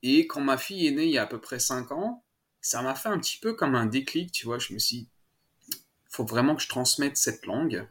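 A man's voice: mid-range voice, expressive, a little fast, a bit bright. A man from France, a father as interview guest, chatting casually with many fillers, restarts and laughs. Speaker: guest, French-speaking father.

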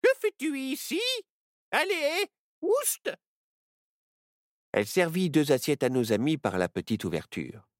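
Vieille sorcière rusée à la voix chevrotante et haut perchée, jouée par un homme. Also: male voice, a little high, big pitch swings, unhurried, pauses often, no room sound, studio quality. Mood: bossy. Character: old witch posing as a sweet grandma.

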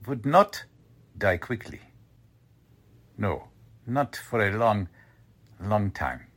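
A man plays a Nazi German villain in an old-time radio drama. His voice is husky and very slow, with pitch that swoops and sinks. He stays coldly courteous and suave while he threatens. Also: fairly bright tone, exaggerated voice. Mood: quiet threat. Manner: deliberate, suave, veiled threats. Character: cold, courteous Nazi German agent, menacing male kidnapper.

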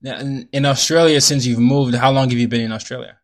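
A male podcast host leads the conversation with a curious, friendly manner, summing up what was said and asking follow-ups. His voice sits at an ordinary mid pitch. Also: steady tone, a little fast. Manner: curious, friendly, recaps and asks questions. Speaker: interview host. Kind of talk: conversational interview hosting.

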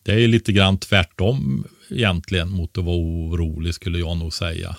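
Low-voiced man giving casual practical advice. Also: little pitch movement, gravelly, dry recording, studio quality. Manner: explanatory, often ending points with a questioning tag. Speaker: expert podcast co-host giving preparedness advice.

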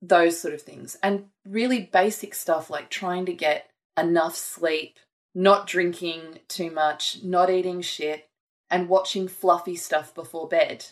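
A woman's voice: on the low side, fairly even intonation, slow pace. A woman author talking thoughtfully and earnestly about her writing in a conversation. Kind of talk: reflective conversation.

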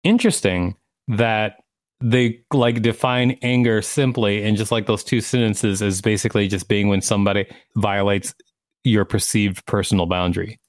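A man's voice: fairly low pitch, steady tone, moderate pace, dry recording, studio quality. A man co-hosting a podcast, reading encyclopedia material aloud with some casual commentary. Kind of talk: scripted narration read aloud, with brief casual commentary.

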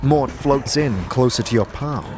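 A man's voice: mid-range voice, unhurried. A man delivering scripted second-person narration for a fantasy audio drama. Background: music.

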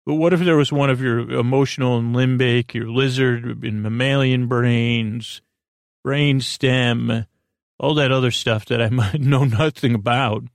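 A man hosting a bedtime podcast in a meandering, halting monologue, often drifting off his train of thought. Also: mid-range voice, fairly even intonation, creaky, no echo, clean studio sound. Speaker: podcast host.

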